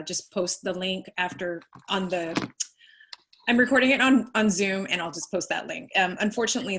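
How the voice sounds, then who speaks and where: A woman's voice: on the low side, fairly bright tone. A woman moderating a panel over a video call, talking with many hesitations. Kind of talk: hosting a panel.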